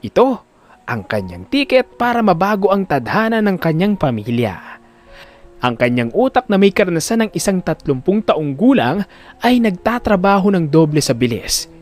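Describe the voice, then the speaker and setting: Male narrator for audio drama: high voice, very animated range, emphatic storytelling, music underneath. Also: moderate pace.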